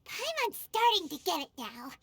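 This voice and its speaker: A woman's voice: normal speed, no echo, exaggerated voice. A woman voicing a tiny, curious female fairy companion who talks about herself in third person, in a game with music under her lines. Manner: high-pitched, excitable, speaks of herself in third person. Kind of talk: game voice acting.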